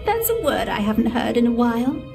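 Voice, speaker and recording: A woman's voice: on the high side, big pitch swings, a little fast, creaky. A woman playing a haughty, mocking figure in a video game, taunting and condescending. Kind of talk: video game character acting. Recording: prominent music behind the voice.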